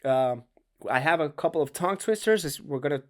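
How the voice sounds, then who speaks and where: A man's voice: fairly high pitch, animated, brisk. A man with a Spanish accent, a native Spanish speaker, giving a casual diction lesson in conversational English with emphatic stretched words and some stumbles. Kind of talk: casual instructional talk to camera.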